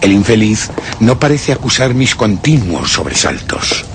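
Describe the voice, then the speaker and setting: Radio suspense: brooding male murderer-narrator, Castilian accent, literary and theatrical, animated delivery over noisy ambience. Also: on the low side, unhurried. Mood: brooding menace. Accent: Castilian Spanish. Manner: theatrical, literary, ominous, dropping to whispers. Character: brooding, scheming murderous man narrating his crime.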